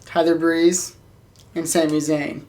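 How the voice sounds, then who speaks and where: A woman's voice: deep voice, level tone, takes it slowly, darkish tone. A woman co-hosting a podcast in a casual, conversational way. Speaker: podcast co-host.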